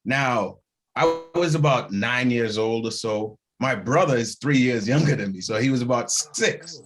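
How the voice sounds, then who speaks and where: A man's voice: mid-range voice, unhurried, over music. A man from Haiti, a guest actor, telling a story in a casual interview, laughing at himself and acting out the people he quotes. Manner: animated storyteller who acts out quoted voices and laughs at himself.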